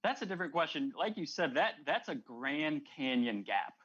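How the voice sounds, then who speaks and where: A man's voice: fairly high pitch, big pitch swings, normal speed, a bit bright, slightly rough, highs cut off. A man co-hosting a sports show, offering casual, conversational analysis of teams and play.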